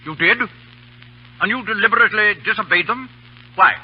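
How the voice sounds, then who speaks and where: An actor playing a stern, proud British colonel in an old radio drama, with gruff, clipped military speech. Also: male voice, sits high, brisk. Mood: severe. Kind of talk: 1930s radio drama acting. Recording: muffled, noisy old radio recording with hum.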